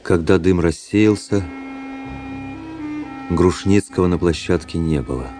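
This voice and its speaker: An actor playing a proud officer who somberly recounts his duel, in measured, literary radio-drama narration. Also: low voice, music in the background. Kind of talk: first-person dramatic narration in a radio play.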